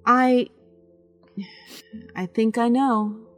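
A woman playing a sensible, skeptical friend in an audio drama, with a dry, measured manner. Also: fairly high pitch, flat delivery, a bit bright, soft background music.

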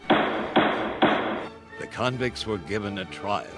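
A man narrating a fairy-tale radio play in a scripted storybook style, with dramatic, deliberate pauses. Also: on the low side, lively intonation, over loud music.

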